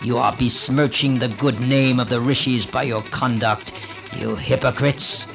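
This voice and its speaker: A man's voice: medium pitch, steady tone, unhurried, vocal fry, music in the background. A man voicing a huge, bloodthirsty male demon who taunts his foes in a menacing way. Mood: taunting, menacing.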